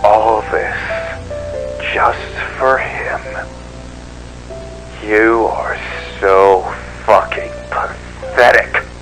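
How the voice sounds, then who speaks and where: A man acting a slow, menacing unhinged villain. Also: fairly low pitch, steady tone, a bit bright, faint music behind, low-quality recording. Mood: contemptuous. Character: cruel, unhinged, foul-mouthed armored villain man, cornered and defiant. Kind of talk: dark amateur audio drama acting.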